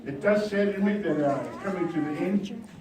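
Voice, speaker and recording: High-voiced pastor in an echoey hall. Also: male voice, a little fast. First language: Samoan. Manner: rousing, swings from soft asides to shouted exhortation. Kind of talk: revival sermon with bilingual asides. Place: church hall. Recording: echoey hall, distant mic, background noise.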